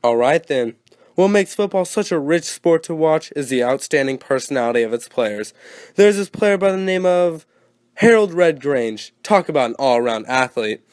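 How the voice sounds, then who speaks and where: A man's voice: fairly high pitch, expressive, normal speed, darkish tone, dry recording, close to an everyday voice. A man playing an eager, teasing 1920s radio sports co-host, peppy and earnest, full of period slang.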